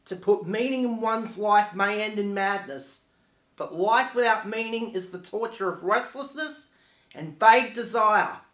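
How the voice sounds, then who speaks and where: A man's voice: high-pitched, unhurried, pushed and bright. A man playing a regretful dead man who recites a poetic monologue with solemn, declamatory delivery. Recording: echoey room.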